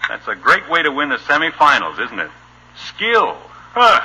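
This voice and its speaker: An impatient American family father in an old radio comedy. He is gruff and sardonic, with a very bright, forceful voice that swings up and down in irritation. The sound is that of a vintage broadcast. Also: on the high side, wildly swooping pitch, moderate pace, lo-fi sound, exaggerated voice. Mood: irritated, grumbling. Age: middle-aged. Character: exasperated, no-nonsense family father at the dinner table. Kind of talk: 1950s radio sitcom acting.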